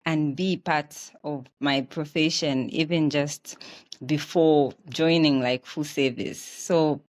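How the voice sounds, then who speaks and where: Female interview guest, Zambian accent, slightly low register, conversational storyteller. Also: fairly even intonation, slow, a little mellow. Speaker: interview guest, health professional.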